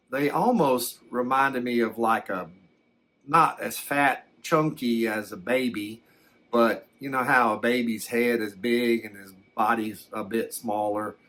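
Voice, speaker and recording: A man's voice: medium pitch, sharp tone. A man playing a folksy, plain-spoken country fellow who hesitantly recounts his alien abduction as an ASMR story. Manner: hesitant, halting, plain-spoken rural phrasing. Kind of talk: slow ASMR first-person storytelling.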